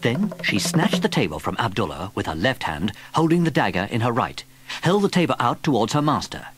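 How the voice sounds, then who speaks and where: A man narrating a children's story from a script in an expressive storytelling manner. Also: medium pitch, fairly quick. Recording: vintage cassette tape. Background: music.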